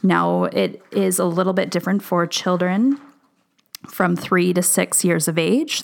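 A woman in her late twenties speaks as a dental hygienist guest, with a gravelly, fried edge and a lowish pitch. She lays out guidelines in a measured, informative way. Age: 20s. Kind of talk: explaining guidelines.

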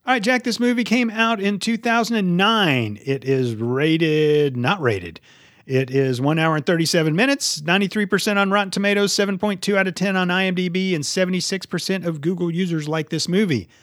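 Man in his fifties with a higher-pitched voice. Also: fairly quick, fairly bright tone, no room sound, studio quality. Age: 50s. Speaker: movie podcast host. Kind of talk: casual, profane podcast chat.

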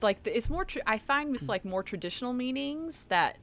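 A woman's voice: fairly high pitch, expressive, normal speed, fairly bright tone. A woman co-hosting a casual podcast chat, rambling through her thoughts with many fillers. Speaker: podcast co-host.

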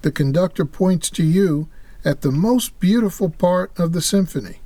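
A man's voice: sits high, moderate pace, mellow, no room sound. A man co-hosting a religious podcast, reading an earnest, preacherly script. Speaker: podcast co-host.

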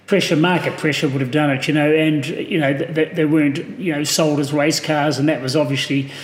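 A man with a New Zealand accent whose voice sits a little on the high side. He talks in a halting way, full of ums and restarts. The sound is roomy and echoey. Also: steady tone. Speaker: podcast guest, car owner. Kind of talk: casual podcast chat.

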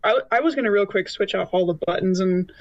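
A woman's voice: mid-range voice, fast, no echo, dull audio. A woman playing a tabletop roleplaying game, chatting casually as she explains her moves. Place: remote online call.

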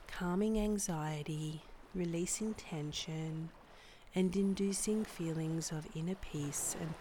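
Very slow, soft-spoken woman guiding meditation. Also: on the low side, a little hiss or hum. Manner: soft, soothing, measured with long pauses. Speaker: meditation guide and podcast host. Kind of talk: guided body scan meditation, scripted narration.

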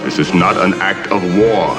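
An actor playing a proud, stately alien ruler in a television drama, speaking formally and deliberately. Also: male voice, low voice. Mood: proud, amorous. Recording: TV episode clip under loud music.

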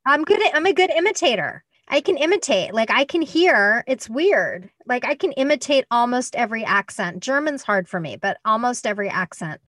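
A woman from the United States hosting a casual interview, chatty and animated, often acting out other people's voices when she tells a story. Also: fairly high pitch, brisk, hardly any pauses, dry recording, studio quality.

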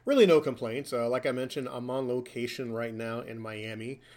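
A man's voice: mid-range voice, normal speed, a little mellow. A man in his forties hosts a casual podcast, chatting and ribbing his co-host in a mid-range voice. He talks from a hotel room in an easygoing, joking way. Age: 40s.

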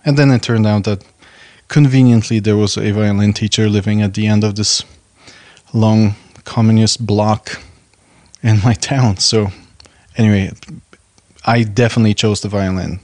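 A man from Poland with a lowish voice, the sort of musician guest heard reminiscing on a closely miked podcast. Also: fairly even intonation, moderate pace, dry recording, clean studio sound. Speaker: orchestral violinist, podcast guest. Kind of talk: personal anecdote, conversational.

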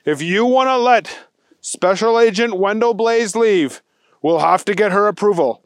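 Man acting a high, effusive police chief. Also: measured pace, dry recording, clean studio sound. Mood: officious. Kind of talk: children's storybook performance.

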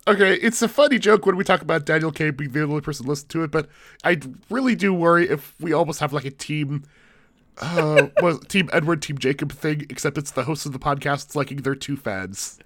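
A man's voice: a little high, a little fast, a bit bright, no echo. A man co-hosts a podcast, his nose stuffed up from the flu. He rambles and jokes, cutting himself off mid-sentence. The sound is close and dry, with no room around it. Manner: rambling, self-interrupting, jokey. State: congested from flu. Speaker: podcast co-host. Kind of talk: casual chat.

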